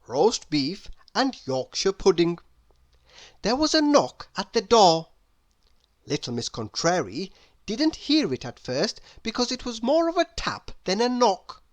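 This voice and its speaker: A woman narrating a children's storybook aloud in a deliberate, expressive storytelling manner. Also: medium pitch.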